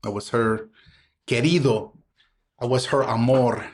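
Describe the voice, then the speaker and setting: A middle-aged man from Southern California with a voice of ordinary pitch. He recites spoken-word poetry in a rhythmic cadence, then shifts to easy, reflective conversation. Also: unhurried. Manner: rhythmic spoken-word delivery, mixing in Spanish words. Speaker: poet and guest.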